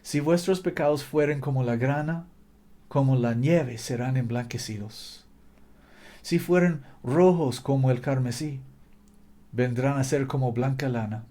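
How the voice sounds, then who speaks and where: A man's voice: medium pitch, unhurried, warm tone. A man preaching a fervent sermon in a language that is not his native one, exhorting listeners with repetition and occasional slips. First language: not Spanish.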